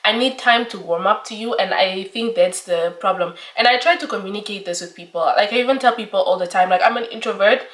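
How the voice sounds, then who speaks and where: A woman in her twenties talking casually to camera in her own room, chatty and animated, laughing often. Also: mid-range voice, brisk, hardly any pauses, echoey room, far from the mic. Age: 20s. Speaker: vlogger. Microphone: camera mic.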